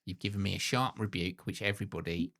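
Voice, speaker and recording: Male co-host, 40s, scratchy and very low, jokey. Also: fast, creaky, fairly bright tone. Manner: teasing and jokey. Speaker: podcast co-host. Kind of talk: casual chat.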